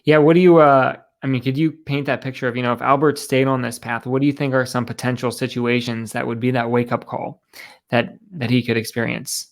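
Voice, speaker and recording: A man with an average-pitched, gravelly voice, co-hosting a relaxed podcast chat. He talks conversationally and keeps checking in with his partner. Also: brisk. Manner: conversational, lots of agreeing murmurs and tag questions. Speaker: podcast co-host.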